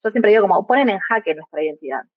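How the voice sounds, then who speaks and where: A woman's voice: medium pitch, lively intonation, racing, crowd noise. Woman with an Argentine accent on a tinny line. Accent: Argentine Spanish. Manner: thoughtful, often adds tag questions. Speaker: guest, migrant mother. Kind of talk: reflective podcast conversation.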